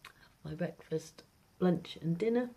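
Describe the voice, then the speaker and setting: Low-voiced rambling British woman vlogger. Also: measured pace, vocal fry, warm tone, breathy edge. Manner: rambling, hesitant, often trailing off and repeating. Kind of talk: casual food diary chat to camera. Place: at home. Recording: noisy amateur home video.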